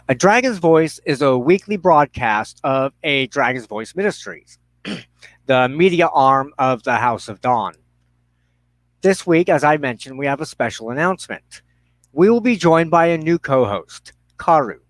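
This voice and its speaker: A man hosting a livestream, making introductions and announcements in a halting, deliberate way with pauses mid-phrase. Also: fairly high pitch, no echo, poor audio. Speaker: host of a religious broadcast show.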